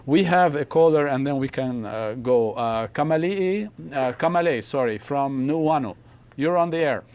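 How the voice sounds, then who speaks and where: A man's voice: mid-range voice, expressive, moderate pace, darkish tone, clear, resonant tone. An older man from Palestine hosting a live TV studio panel, hesitant with many fillers but emphatic. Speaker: host.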